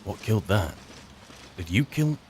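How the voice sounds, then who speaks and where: A man's voice: on the low side, very animated, moderate pace, background noise. A man improvising in-character commentary as he roleplays through a video game, musing and wry as he chats.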